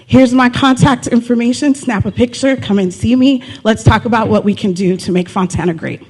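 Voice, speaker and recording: A woman's voice: fairly high pitch, brisk, a little mellow, slightly rough. A woman giving a live persuasive presentation to investors as a city presenter, emphatic, repeating key figures and posing rhetorical questions. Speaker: city economic development presenter.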